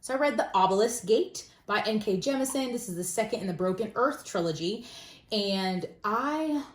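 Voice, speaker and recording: A woman giving a casual, self-deprecating book review in an informal, conversational way. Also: mid-range voice. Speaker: book vlogger. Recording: roomy echo.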